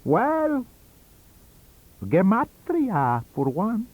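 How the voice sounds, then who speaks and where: A man voicing a cunning, wheezy old foreign scientist-magician in an audiobook, with a theatrical, halting and emphatic delivery. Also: high voice, wildly swooping pitch, darkish tone, pitched above the performer's own voice, full character voice. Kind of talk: audiobook character reading. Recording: dull lo-fi audio cassette.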